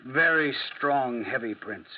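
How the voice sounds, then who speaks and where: A man playing a clever, self-assured French detective in a 1940s radio drama, with a theatrical, drily ironic, deliberate delivery. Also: mid-range voice, lively intonation. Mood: self-assured, emphatic. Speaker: actor playing detective and narrator. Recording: muffled 1940s radio recording.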